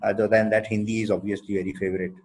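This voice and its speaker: A man with an Indian English accent, a company co-founder answering interview questions thoughtfully, with many pauses and fillers. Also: lowish voice, flat delivery, a little mellow, slightly rough. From Odisha, India.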